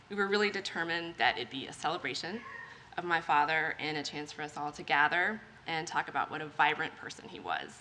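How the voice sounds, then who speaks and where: Middle-aged woman, bright forceful voice, fairly low pitch, composed prepared memorial speech, background noise. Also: poor audio. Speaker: family member giving a memorial reading. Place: memorial gathering at a retirement community.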